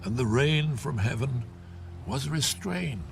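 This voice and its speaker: A man narrating scripture from a script as an audio Bible, solemn, formal and measured. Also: medium pitch, expressive, vocal fry. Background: music under the narration.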